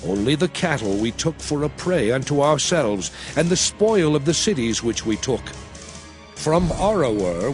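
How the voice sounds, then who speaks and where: An actor playing a commanding prophet who addresses his people in solemn, declamatory dramatized scripture. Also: a little high. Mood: solemn, stern. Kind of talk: dramatized scripture oration, King James English.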